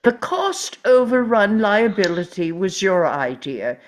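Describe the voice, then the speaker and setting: A woman playing an imperious, excitable park owner in a script table read, speaking emphatically and theatrically. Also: mid-range voice, a little slow, a little hiss or hum. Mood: indignant.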